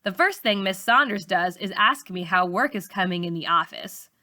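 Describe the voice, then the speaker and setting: A woman reading a novel aloud as a teacher would, in an expressive storybook style for young students. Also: medium pitch, normal speed. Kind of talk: expressive storybook narration for middle schoolers.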